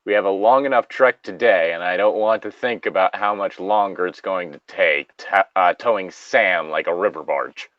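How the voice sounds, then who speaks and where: A man's voice: deep voice, normal speed, few pauses, a bit bright, dry recording, highs cut off. A man reading a story aloud casually, breaking off into loose, profane banter.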